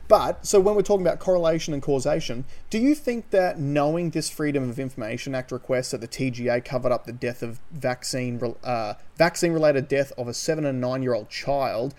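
A man's voice: mid-range voice, fairly quick, hardly any pauses, darkish tone. An Australian man co-hosting a podcast, talking casually and opinionatedly in a sardonic way, with some casual swearing. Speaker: podcast co-host. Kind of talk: casual opinionated chat about news.

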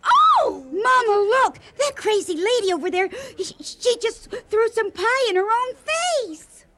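A woman voicing a shrill, excited small child in a radio comedy. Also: unhurried, full character voice. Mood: shrieking, excited.

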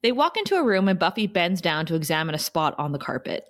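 A woman's voice: on the low side, very animated, quick pace. A woman narrating stage directions for a scripted table read.

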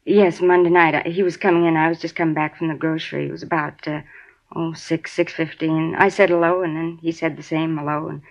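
A flustered, rambling building manager. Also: female voice, deep voice, level tone, brisk, vocal fry. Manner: rambling, defensive, repeats herself. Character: flustered chatty middle-aged woman apartment manager. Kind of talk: 1950s radio drama acting. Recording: dull lo-fi 1950s radio broadcast.

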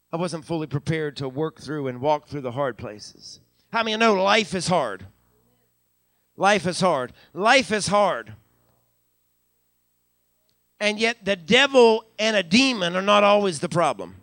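High, bright-voiced preaching pastor. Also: male voice, animated, a little slow, pauses often, no room sound, studio quality. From United States. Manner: preaching with frequent hallelujahs and call-outs to the congregation; repeats phrases for emphasis, building to raised climaxes. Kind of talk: live church sermon. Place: church sanctuary during a live service.

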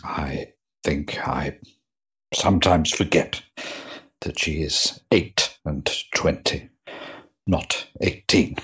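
A man playing an earnest, pious clergyman in a period drama, with a grave, imploring manner and a husky voice. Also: extremely low pitch, takes it slowly, pauses often, a bit bright, lo-fi sound, full character voice. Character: earnest, pious, solemn clergyman pleading for a soul. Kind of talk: period audio drama acting.

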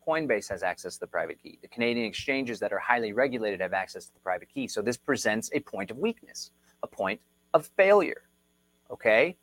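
Energetic Texan man teaching live. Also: lowish voice, brisk, rough recording. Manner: energetic, chatty, reads viewer comments aloud. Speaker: crypto instructor. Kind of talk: live-streamed tutorial.

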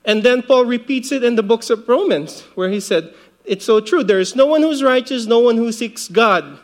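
High pitched man in his 40s from the philippines, easygoing sermon, chatty and personal. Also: animated, moderate pace. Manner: conversational preaching with light laughter and asides. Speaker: pastor.

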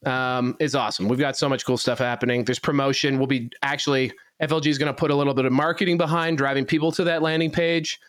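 Clear-voiced man rambling through event plugs. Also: medium pitch, level tone, quick pace, few pauses, a bit bright, no room sound. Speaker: co-host. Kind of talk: casual chat. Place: studio.

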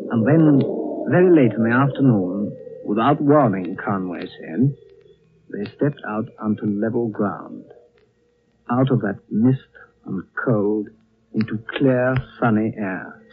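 A man narrating a 1930s radio drama in a dramatic, scripted style, telling the story deliberately, phrase by phrase. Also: mid-range voice, slightly rough. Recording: old tinny radio recording.

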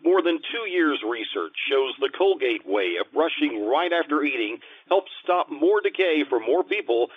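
A man's voice: on the high side, big pitch swings, moderate pace, few pauses, narrow, tinny audio. A man announcing in the scripted style of an old-time radio sponsor message. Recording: thin, band-limited radio-style recording.

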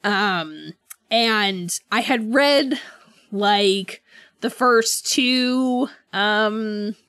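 A woman talks as a podcast co-host in a casual, informal chat. Her voice sits at a middle pitch and is recorded dry, with very little room sound. She chuckles easily as she goes. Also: extremely slow, a bit bright, smooth, no echo. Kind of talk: casual book discussion chat.

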